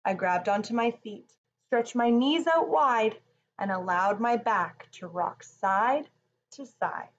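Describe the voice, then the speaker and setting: A woman reading a children's storybook and guiding relaxation in a calm, gentle manner. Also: a little high, takes it slowly, lo-fi sound, slight background noise. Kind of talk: children's storybook read-aloud and guided relaxation.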